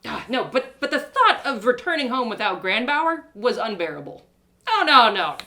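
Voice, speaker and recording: Woman acting a melodramatic young adventurer heroine, swooping pitch. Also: sing-song, normal speed, sharp tone. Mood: defiant. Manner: dramatic, exclaiming, stammering in distress. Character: naive, stranded young female adventurer, melodramatic, plucky, betrayed. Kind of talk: first-person audio drama storytelling.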